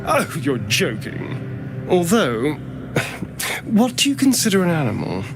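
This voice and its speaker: A man's voice: on the high side, wildly swooping pitch, slow, full character voice. A man playing an arch, theatrical, mocking elf rogue in a video game, teasing and drawling. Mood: incredulous, haggling. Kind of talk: video game character acting. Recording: music behind the voice.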